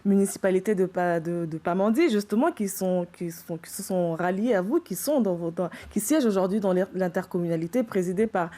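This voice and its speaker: A woman's voice: fairly low pitch, a little fast, few pauses, mellow. A woman presenting a broadcast news interview, putting questions to a guest.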